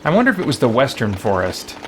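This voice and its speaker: A man gives casual live commentary on a video game. His voice is mid-pitched and informal as he thinks aloud and talks to his chat. There is clear ambient noise behind him. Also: huge pitch swings, fast talker, fairly bright tone. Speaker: game streamer.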